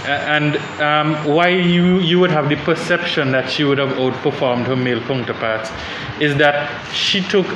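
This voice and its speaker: A man's voice: a little high, fairly even intonation, moderate pace, few pauses. Man panelist, echoey room. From Saint Vincent and the Grenadines. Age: young adult. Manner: thoughtful, hesitant, many restarts. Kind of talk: panel discussion. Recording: echoey room with background noise.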